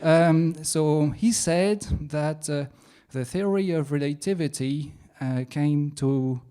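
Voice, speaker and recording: A young man from France with a French accent, doing a science stand-up comedy set in a self-mocking, halting way with playful asides. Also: fairly high pitch, mellow, clean tone. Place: comedy venue stage.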